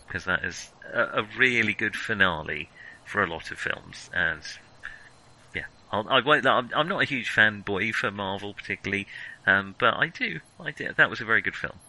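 A man's voice: on the low side, animated, normal speed, sharp tone, rough recording. A man chatting casually and jokily about films as a podcast co-host. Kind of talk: casual film chat.

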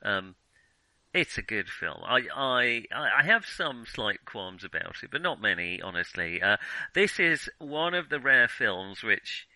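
Man, very bright tense voice, dry sound, film podcast host, casual discussion, hesitant phrasing. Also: lowish voice, sing-song, measured pace, no echo, poor audio.

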